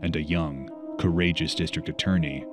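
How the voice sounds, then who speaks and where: Man playing comic-book vigilante, very low pitch, grave, measured, brooding monologue. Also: steady tone, over music. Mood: grim. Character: brooding grim masked vigilante detective narrating his thoughts.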